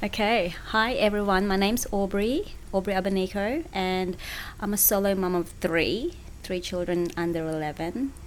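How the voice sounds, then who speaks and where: A woman's voice: mid-range voice, moderate pace, hardly any pauses, a little hiss or hum, rough recording. A woman, originally from the Philippines, chatting as a podcast guest and often restarting her sentences. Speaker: guest, small business owner. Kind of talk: casual interview chat.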